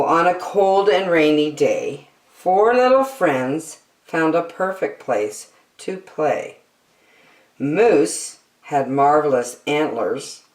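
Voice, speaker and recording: A woman reading a children's picture book aloud with theatrical, deliberate phrasing, in a roomy, echoey recording. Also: deep voice, darkish tone, far from the mic. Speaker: storytime reader.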